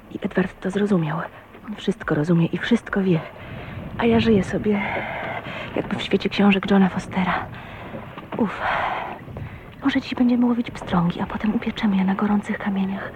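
An actress playing a shy, dreamy woman of twenty-nine in love, tender and wistful, often hushed, in a radio drama. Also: medium pitch, muffled recording, background noise, natural voice. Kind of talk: Polish radio drama acting with inner monologue. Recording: radio play with music behind. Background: music.

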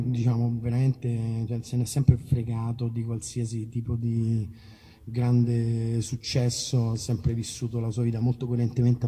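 An Italian man, a musician, chatting informally and haltingly to a concert audience as he introduces songs. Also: medium pitch, dark tone, husky. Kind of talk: casual spoken song introduction to an audience. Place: live outdoor evening concert in a park olive grove.

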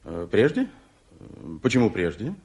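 Discreet secretary, radio drama: fairly low, husky, formal, efficient, carefully measured. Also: male voice, wildly swooping pitch, darkish tone, heavily acted. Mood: guarded. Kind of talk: 1980s Soviet radio play acting.

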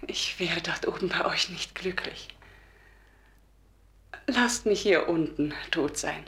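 An actress playing the humble, ashamed spirit of a dead wife in a German radio play, earnest and subdued. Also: female voice, fairly low pitch, takes it slowly, a bit bright, slight background noise. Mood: quiet refusal.

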